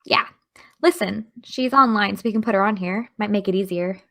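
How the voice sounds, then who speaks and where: A woman's voice: mid-range voice, moderate pace, vocal fry, fairly bright tone. An actress playing an earnest, studious teenage girl with a chatty, eager, slightly awkward manner in an audio drama. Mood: cheerful. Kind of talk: naturalistic audio drama acting.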